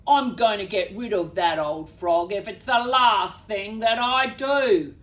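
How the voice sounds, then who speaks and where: A woman voicing a gruff, determined father in a children's storybook, emphatic and commanding. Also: mid-range voice, unhurried, a bit bright, voice pushed down, natural voice.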